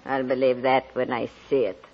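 A man's voice: medium pitch, little pitch movement, normal speed, breathy edge. A performer voicing a crabby, suspicious old woman pawnbroker, querulous and scornful, in old-time radio drama style. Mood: suspicious, grumbling. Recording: dull old radio recording.

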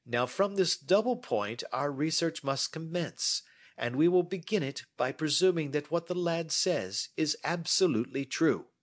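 A man's voice: fairly high pitch, animated, measured pace, voice pushed up. A man reading as a brilliant, self-assured Victorian detective who explains his deductions precisely. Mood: explaining.

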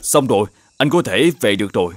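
A man voicing a mysterious old Taoist sage in an animated fairy tale, courteous and formal in manner. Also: on the low side, brisk, close to an everyday voice. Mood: solemn. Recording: music behind the voice.

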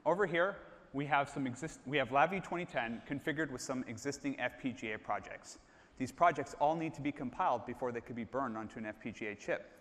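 A man's voice: medium pitch, fairly quick, a bit bright. A man in his thirties presenting a live product demo on stage, explaining steadily and calling on colleagues by name. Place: large stage, hall. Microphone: lapel mic. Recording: reverberant hall.

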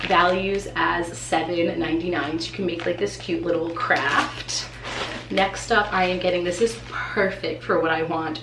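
A woman in her thirties, a teacher from New England, chatting excitedly through an unboxing with a congested, nasal voice from allergies. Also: on the low side. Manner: chatty and excitable, many "like" fillers. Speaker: teacher and vlogger. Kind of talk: casual unboxing vlog. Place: small room indoors. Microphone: camera in front, seated. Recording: echoey room, faint hiss.

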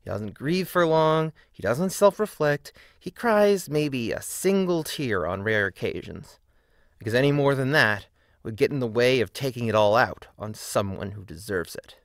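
A man's voice: medium pitch, lively intonation, normal speed, no room sound, clean studio sound. A man narrating a scripted video essay in a critical, emphatic, argumentative way.

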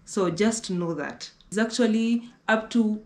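A woman's voice: medium pitch, a little slow. Young woman, from Kenya, travel vlogger, casual chat, restarts a lot, roomy echo. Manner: chatty, repeats herself, restarts often. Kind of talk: casual conversational travel talk. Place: indoor living room on a sofa.

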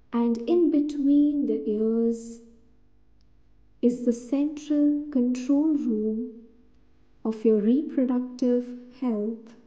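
A woman narrating a scripted guided meditation in a soothing, deliberate way, in short phrases with pauses between them. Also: a little high, warm tone, muffled recording.

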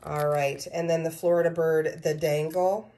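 A woman's voice: sits low, little pitch movement, normal speed, warm tone, a little hiss or hum. A woman recording a casual shopping haul vlog on a phone, enthusiastically showing items one by one and listing their prices. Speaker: personal shopper and pin seller. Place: indoors at a table of merchandise.

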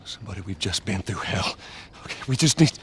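A desperate, protective father, animated pitch. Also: fast talker, creaky, slight background noise. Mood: pleading. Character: desperate, protective father fleeing with his young daughter. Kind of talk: cinematic video game acting. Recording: loud dramatic music under the voice.

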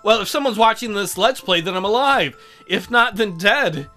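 A man's voice: high-pitched, lively intonation, moderate pace, pushed and bright, soft background music. A man hosting a casual let's play, rambling and joking in a crude, sarcastic way. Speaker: gaming video host.

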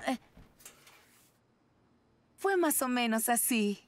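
A woman's voice: fairly high pitch, lively intonation, extremely slow, noisy background. A woman voicing a sentimental middle-aged mother in a cartoon, tender and emotional. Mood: fond. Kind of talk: cartoon voice acting.

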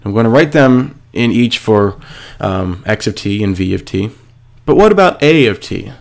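A man's voice: fairly low pitch, lively intonation, moderate pace, creaky. A male physics teacher, stuffy from a cold, walking through a worksheet step by step and answering his own questions. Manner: patient, step-by-step, asks and answers himself. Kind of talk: screencast lesson explaining a worksheet.

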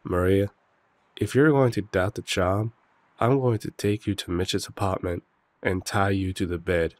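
A man's voice: low voice, fairly even intonation, a little slow, dry recording. A man playing a protective, worried shifter boyfriend in an audio roleplay drama, speaking in an earnest, serious way. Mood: firm.

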